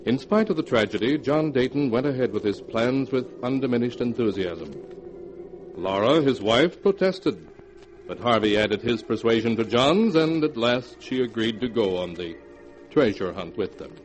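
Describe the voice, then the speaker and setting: A man narrating a scripted 1940s radio horror tale in a formal, measured storytelling manner. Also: mid-range voice, expressive, faint music behind, lightly acted, poor audio.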